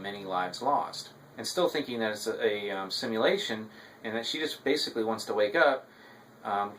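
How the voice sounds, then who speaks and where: A man narrating an episode recap to an audience as a reviewer, with a halting delivery full of ums. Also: on the low side, fairly bright tone, slight background noise, poor audio.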